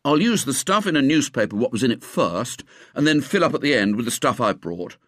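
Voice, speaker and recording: A man's voice: medium pitch, big pitch swings, fairly quick, fairly bright tone, no echo, studio quality, voice pushed up. A man voicing a brash, confident schoolboy ringleader, eager and breezy.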